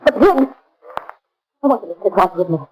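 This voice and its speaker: A woman's voice: mid-range voice, lively intonation, normal speed, noisy background, lightly acted. An actress in a 1930s radio crime drama playing a hopped-up, girlish young robber who talks in slang and pleads. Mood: shaken. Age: young adult. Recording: vintage 1930s radio recording.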